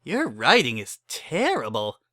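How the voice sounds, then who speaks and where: A man voicing a tipsy, breezy middle-aged pony mare who officiates weddings with brisk, casual patter in a dramatic story reading. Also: medium pitch, huge pitch swings, bright, forceful tone, no room sound, studio quality, exaggerated voice. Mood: casual officiating.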